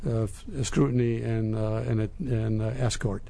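A man in his fifties from Lebanon, answering interview questions in a halting, measured way. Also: on the low side, level tone, gravelly, warm tone. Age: 50s. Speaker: interview guest, business executive.

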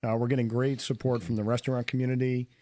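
Raspy-voiced male wine distributor. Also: mid-range voice, little pitch movement, warm tone, dry recording, studio quality. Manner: lists places in quick run. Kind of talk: casual talk radio chat.

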